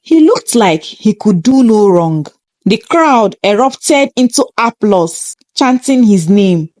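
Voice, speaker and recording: A woman's voice: mid-range voice, animated, slow pace, a little mellow, no echo. A woman reading a scripted first-person story in a dramatic, suspenseful manner.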